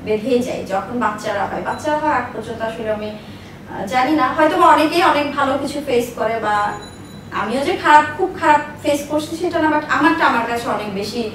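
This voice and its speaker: A woman's voice: sits high, fast, fairly bright tone. A woman in her thirties telling personal stories as an interview guest, speaking emphatically and repeating herself for stress. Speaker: interview guest, business owner. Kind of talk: personal life storytelling in an interview. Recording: echoey room, distant mic, faint noise.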